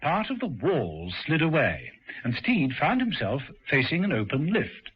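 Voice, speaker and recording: A man narrating a radio drama in a measured, storytelling way. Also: on the high side, huge pitch swings, very noisy. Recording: dull vintage radio recording with music.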